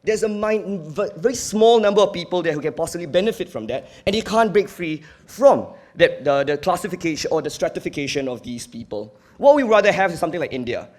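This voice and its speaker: A man's voice: high voice, lively intonation, a little fast, few pauses, darkish tone. A young man with a Singaporean accent giving a competitive debate speech in an earnest, emphatic, argumentative manner. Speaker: university debater.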